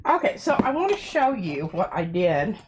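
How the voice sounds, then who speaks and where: Woman craft host, congested, animated delivery, rambling and self-mocking. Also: lowish voice, moderate pace, background noise. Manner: chatty, self-deprecating, talks while working. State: stuffy nose. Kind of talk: casual art demonstration. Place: home art studio.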